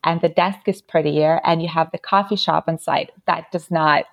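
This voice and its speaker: A woman's voice: low voice, fairly even intonation, moderate pace, gravelly, no echo, muffled recording. A woman from Ukraine, a native Ukrainian speaker, talking about her career in a casual, reflective interview. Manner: reflective, telling stories with small laughs. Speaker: podcast guest.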